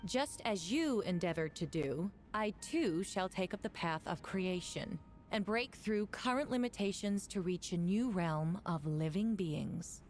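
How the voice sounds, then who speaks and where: A woman playing a formal, deliberate female prosecutor in a voice-acted video game. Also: on the low side, lively intonation. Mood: grave reading aloud. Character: formal, resolute female prosecutor presenting evidence in court. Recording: game audio with faint music behind.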